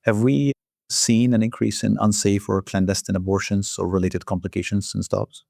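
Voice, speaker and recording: A man's voice: fairly low pitch, level tone, moderate pace, vocal fry, darkish tone, dry recording, studio quality. A man hosting an interview, putting structured questions to a guest in a formal conversational style. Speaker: interviewer, journal editor hosting.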